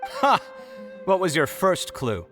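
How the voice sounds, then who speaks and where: A man's voice: high-pitched, huge pitch swings, takes it slowly, fairly bright tone, soft background music, exaggerated voice. An actor playing a proud, easily riled young male architect in a video game, theatrical and exasperated.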